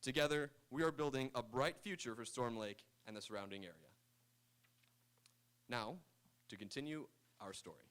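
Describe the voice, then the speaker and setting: A man's voice: medium pitch, moderate pace, pauses often, fairly bright tone, clean tone. A man reading a scripted promotional announcement as a radio announcer.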